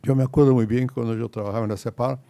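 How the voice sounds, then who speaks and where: Older man, gravelly voice, Spanish. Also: medium pitch, steady tone, mellow. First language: Portuguese. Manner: halting, many restarts and repeated words. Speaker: panelist. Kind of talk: panel debate remarks.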